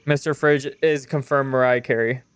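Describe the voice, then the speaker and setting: A man's voice: mid-range voice, steady tone, moderate pace, fairly bright tone, clear, resonant tone, dry recording. A young man streaming a game and chatting with viewers in a loose, rambling way, full of fillers and casual swearing. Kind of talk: casual live-stream chat while gaming.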